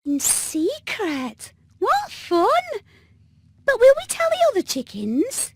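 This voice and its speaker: A woman's voice: very high voice, wildly swooping pitch, slow pace, darkish tone, no room sound, clean studio sound, exaggerated voice. A woman voicing a cheerful, dim-witted hen in a video game, naive and innocently eager. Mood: naive excitement. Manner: naive, chirpy. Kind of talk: animated video game acting.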